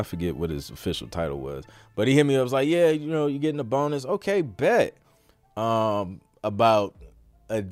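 A man's voice: mid-range voice, darkish tone, no room sound. An American man hosting a podcast, chatting casually through a live Q&A in an informal, slangy, rambling way. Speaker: podcast host.

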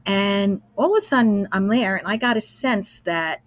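A woman's voice: mid-range voice, lively intonation, normal speed, no echo. Older American woman reminiscing, dry close sound. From Brooklyn, New York, USA. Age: 60s. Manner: easygoing, chatty, anecdotal. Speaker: interview guest, former college radio engineer. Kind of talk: casual reminiscing.

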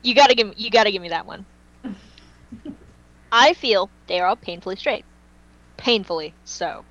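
A woman's voice: medium pitch, animated, a little slow, a bit bright, no echo, lo-fi sound. A woman chatting casually as a fan podcast panelist, speaking in a gushing, emphatic and dramatic way.

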